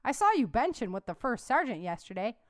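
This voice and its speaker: A woman's voice: a little high, very animated, fairly quick, no room sound, clean studio sound. A woman voicing a brawny, friendly male gym regular who talks bluntly. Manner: blunt, easygoing.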